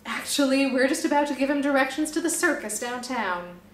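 Hissing, wheedling snake hobo, by a woman, high and roomy. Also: lively intonation, a little fast, recorded from a distance. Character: scheming male snake vagrant.

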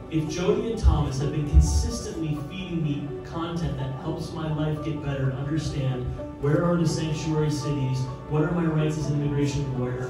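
A man's voice: on the high side, far from the mic. A man in his thirties speaking on a panel into a handheld mic, fired up and emphatic, in a large indoor office event space. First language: English. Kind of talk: energetic panel talk. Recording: roomy, from afar, with music.

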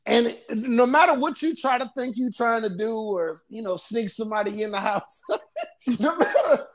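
A man's voice: extremely high pitch, expressive, moderate pace. A man co-hosting a podcast, talking loosely and laughing often. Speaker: podcast co-host. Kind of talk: casual podcast chat.

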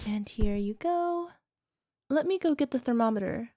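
Woman doing a doting-but-scary yandere wife, high and sugary, close-mic ASMR drama. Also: animated, normal speed, slight background noise, close to an everyday voice. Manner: sweetly coaxing, turning quietly menacing. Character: possessive yandere young wife, sweet but controlling. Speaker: voice actress. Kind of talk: ASMR roleplay audio drama.

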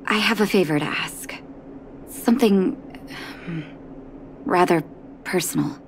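An actress playing a gentle, caring adult doctor in a video game, speaking softly in measured, wistful lines. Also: female voice, fairly low pitch, a little hiss or hum. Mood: earnest, gentle. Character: gentle adult female underground doctor, soft-spoken, caring. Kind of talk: scripted video game dialogue.

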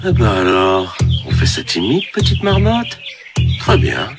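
An actor playing an absent-minded, excitable photographer father in a cartoon, dramatic and boastful. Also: on the low side, measured pace, creaky, loud music behind the voice, full character voice. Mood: hushed, patient. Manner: dramatic, boastful, easily carried away. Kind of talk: French cartoon dub acting.

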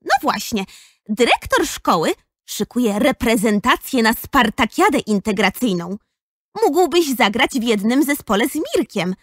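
A woman's voice: fairly high pitch, big pitch swings, a little slow, no echo, clean studio sound. A female performer playing an earnest, caring, persistent schoolgirl in a children's audio drama. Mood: concerned, persuasive.

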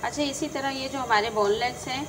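A woman with a Pakistani Urdu accent hosting a casual home cooking tutorial, talking chattily and tagging each step with a quick check-in. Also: high voice, noisy background. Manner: chatty, repeats "theek hai" after each step. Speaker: home cooking channel host.

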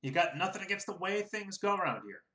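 A man reading aloud, voicing a loud, bullying, heavyset small-town official who barks his questions. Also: sing-song, quick pace, full character voice. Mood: interrogating. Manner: booming, barking questions. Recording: roomy echo.

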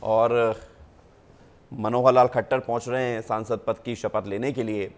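A male news anchor speaking in measured sentences with frequent hesitation pauses. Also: medium pitch, fairly even intonation.

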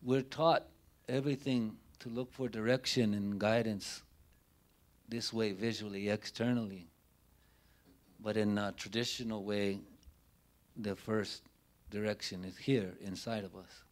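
Slow, low-voiced middle-aged man. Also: fairly even intonation. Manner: deliberate, halting delivery with short phrases and pauses. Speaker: panelist speaking at a community forum. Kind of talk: unscripted public speech, personal storytelling. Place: indoor forum room, before a banner. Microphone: handheld microphone held near the mouth.

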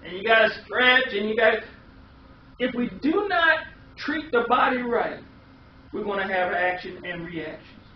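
A man's voice: high-pitched, lively intonation, a little slow. A middle-aged American man preaching a sermon in an emphatic way, with repeated phrases and rhetorical questions. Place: small indoor room. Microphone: distant camera microphone. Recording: echoey, muffled, distant camera audio with hiss.

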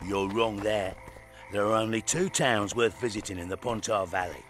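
An actor playing a boastful, scheming fight promoter in a video game, with a grandiose way of talking. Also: male voice, on the low side, normal speed, fairly bright tone, slight background noise, lightly acted. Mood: sly, pitching. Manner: grandiose, speaks of himself in third person.

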